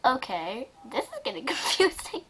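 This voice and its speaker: Giggly high pitched girl reading a fanfic out loud n reacting, super animated, informal. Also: normal speed, husky. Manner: giggly, often breaking into laughter while talking; excitable, informal. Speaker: fan fiction reader. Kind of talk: casual reaction reading of a fanfic, mixing story and commentary.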